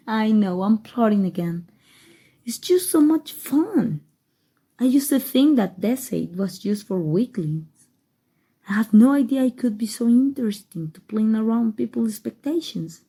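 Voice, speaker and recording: A woman with a Mexican accent playing a haughty, scheming evil queen in a soft, musing ASMR roleplay monologue. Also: a little high, lively intonation, husky, exaggerated voice. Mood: amused, plotting. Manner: slow, measured, musing delivery addressed to a listener.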